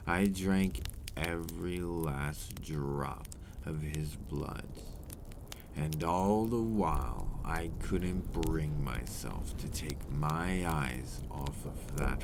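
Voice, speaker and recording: A man's voice: rumbling low, creaky, noisy background, exaggerated voice. A man playing a brooding, possessive old vampire in an ASMR roleplay, speaking formally and theatrically and dropping into whispers. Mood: remorseful, brooding.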